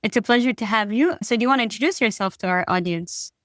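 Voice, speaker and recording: A woman's voice: a little high, quick pace, a bit bright, no echo, studio quality. A woman hosting a podcast, enthusiastic and upbeat, laughing easily. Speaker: podcast host. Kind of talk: casual interview hosting.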